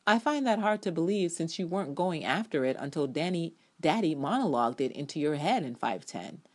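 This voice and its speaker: A woman's voice: low voice, expressive, a little fast, a little mellow. A woman giving a dramatic reading of her own written review, emphatic, sassy and blunt, with some ad libs.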